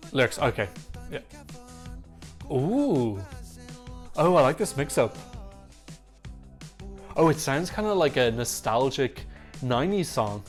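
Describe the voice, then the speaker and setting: A man giving casual, excitable reaction commentary on songs, swearing freely as he chats. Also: medium pitch. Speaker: Eurovision reaction YouTuber. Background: faint music playing.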